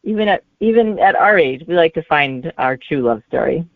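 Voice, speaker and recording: A woman in her forties answering casually as a guest author in an interview, with a halting, hesitant delivery. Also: low-pitched, lively intonation, no echo. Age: 40s. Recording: narrow, tinny phone-like line.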